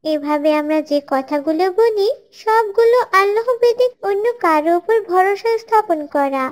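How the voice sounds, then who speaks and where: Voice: very high-pitched, expressive, moderate pace. A performer voicing a pious little schoolgirl in a cartoon, earnestly teaching others in a careful, preachy way. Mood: gently correcting. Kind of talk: scripted moral dialogue for an Islamic cartoon.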